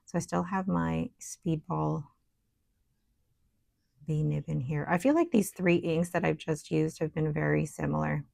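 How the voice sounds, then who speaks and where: A woman with a low, gravelly and scratchy voice talks through her desk work in a relaxed, chatty way, thinking aloud as she goes. The sound is dry and close, with no room around it. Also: unhurried, no room sound. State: scratchy, hoarse voice. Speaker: stationery vlogger. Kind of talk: casual show-and-tell while writing and painting.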